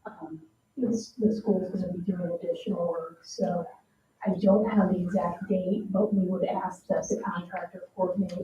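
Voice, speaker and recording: A woman presenting a request at a public meeting, speaking in a halting, fairly informal way. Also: fairly low pitch, steady tone, mellow. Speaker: town staff presenter. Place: town council meeting room. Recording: echoey room, distant mic, dull, noisy.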